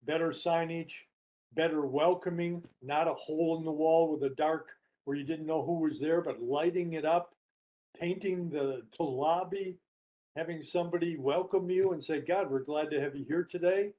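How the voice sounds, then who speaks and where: A man's voice: on the high side, fairly even intonation, moderate pace, mellow, poor audio. A man telling stories conversationally as a guest speaker, emphatic and listing his points in short phrases. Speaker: guest speaker and book co-author. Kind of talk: conversational storytelling in a webinar discussion.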